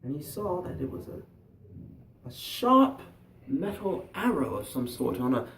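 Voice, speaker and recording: Animated male storyteller in an echoey room. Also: a little high, slow. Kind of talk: improvised folk-tale narration. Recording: roomy, echoey, distant mic, faint noise.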